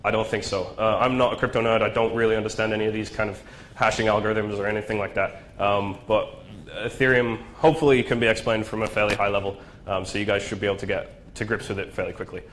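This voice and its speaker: A man's voice: lowish voice, level tone, fast talker, a bit bright, slight background noise. A man with a nasal, flu-stuffed voice giving a casual tech talk at a meetup, with lots of ums and "right?". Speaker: presenter. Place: meetup venue.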